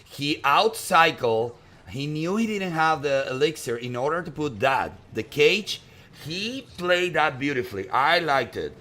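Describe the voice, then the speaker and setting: Animated male esports caster, strong Japanese accent. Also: on the high side, measured pace. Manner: animated, chatty, emphatic repetition. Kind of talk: excitable live game commentary and banter.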